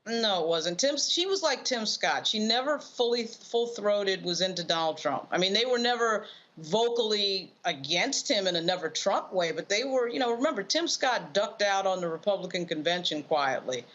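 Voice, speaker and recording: A woman's voice: mid-range voice, animated, moderate pace, fairly bright tone, highs cut off. A woman giving off-the-cuff political analysis as a panel commentator, emphatic and repeating phrases for stress.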